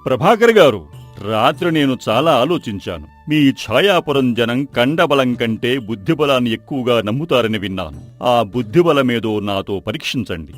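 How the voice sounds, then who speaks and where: A man voicing a proud, scheming rich townsman in an animated moral story, boastful and taunting. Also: mid-range voice, lightly acted. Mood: haughty challenge. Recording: background music under the voice.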